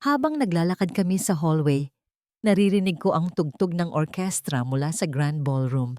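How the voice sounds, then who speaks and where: A woman's voice: low-pitched, expressive, moderate pace, mellow, no echo, clean studio sound. A woman narrating a scripted first-person dramatic story as an audiobook reader.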